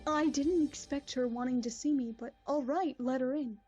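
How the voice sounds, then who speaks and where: A woman voicing a gentle royal princess. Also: high-pitched, moderate pace, soft background music, close to an everyday voice. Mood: calm. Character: calm royal princess, kindly ruler.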